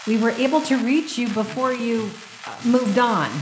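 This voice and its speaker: Radio drama special agent, woman acting: earnest, businesslike, fairly high voice, reverberant room with noticeable noise. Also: steady tone, moderate pace, natural voice. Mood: urgent. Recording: echoey room with clear background noise.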